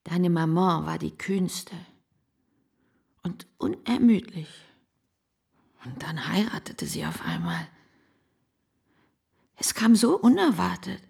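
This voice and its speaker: An actress playing a wistful aunt in a radio play, speaking with a gravelly, husky voice that swings between high tones and whispers. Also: female voice, sing-song, takes it slowly, pauses often, darkish tone, exaggerated voice.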